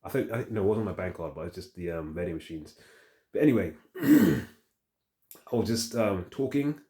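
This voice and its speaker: A man with a low, relaxed, dark-toned voice speaks off the cuff as a fitness vlogger. He thinks aloud in a halting, rambling way, circling back over phrases as he talks into his phone. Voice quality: deep voice. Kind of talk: casual rambling vlog talk to the phone camera. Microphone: handheld phone.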